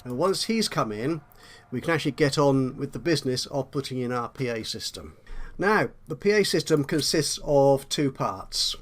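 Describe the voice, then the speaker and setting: A man's voice: mid-range voice, lively intonation, normal speed. Man explaining a video game tutorial. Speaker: tutorial host.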